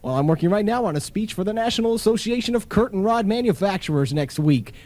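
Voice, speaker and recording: Vain, gullible middle-aged boaster, male actor, high animated voice, pompous old-time radio comedy delivery. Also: fast. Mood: self-important. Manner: self-important, boastful, flustered stammering. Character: pompous, blustering henpecked businessman, vain and gullible.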